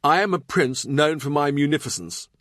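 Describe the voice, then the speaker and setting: A man's voice: fairly high pitch, moderate pace, a bit bright, no room sound, studio quality, voice pushed up, natural voice. A man voicing an imperious yet gracious middle-aged English king in audiobook dialogue, with a commanding, declarative manner. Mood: aggrieved, regal.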